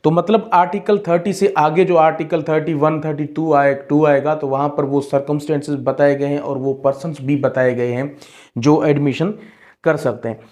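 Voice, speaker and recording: A man's voice: on the high side, steady tone, normal speed, darkish tone. A man with a Pakistani accent explaining as a law lecturer, in a didactic manner that repeats key phrases. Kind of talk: explanatory law lesson mixing Urdu and English.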